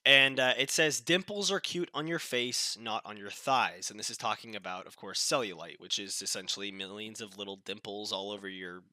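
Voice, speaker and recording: A man's voice: lowish voice, moderate pace, few pauses, sharp tone, no echo. Nasal, sick-sounding young man. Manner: blunt and dismissive, with frequent restarts. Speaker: commentary channel host. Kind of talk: casual political commentary.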